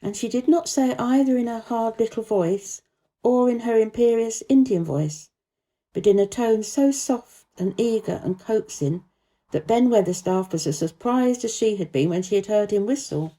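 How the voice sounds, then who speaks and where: Very mellow woman storyteller. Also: on the high side, moderate pace. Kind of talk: scripted storybook narration.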